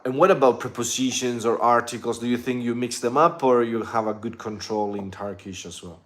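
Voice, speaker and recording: A man with an Italian accent, hosting a casual conversation about languages and asking lively follow-up questions. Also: mid-range voice, brisk. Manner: animated, asks follow-up questions, repeats words. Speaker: host.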